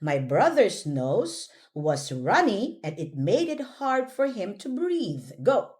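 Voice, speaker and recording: A woman with a Filipino accent teaching English pronunciation one-on-one, encouraging and instructive, repeating words slowly for the learner. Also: mid-range voice, very animated, darkish tone.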